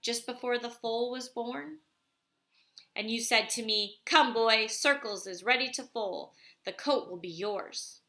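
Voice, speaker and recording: Storybook elder played by a woman: wise old grandfather, patient, measured delivery, reassuring, bright tone. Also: fairly high pitch. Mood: reminiscing. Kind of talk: storybook read aloud.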